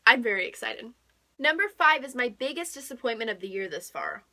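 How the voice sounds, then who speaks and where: A woman's voice: on the high side, expressive, brisk, background noise. A young woman chatting casually as a book vlogger, gushing and emphatic, often repeating words for emphasis. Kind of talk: casual chat to camera.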